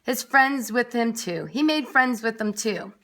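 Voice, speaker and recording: A woman's voice: fairly high pitch, lively intonation, unhurried, fairly bright tone. A woman reading a children's story aloud expressively, with dramatic emphasis and little asides for young listeners. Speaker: nursery school story reader.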